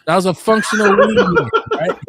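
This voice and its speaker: A man in his forties telling stories in casual chat, rambling and laid-back, getting animated when quoting others. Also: high voice, normal speed, over a noisy crowd. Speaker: podcast host.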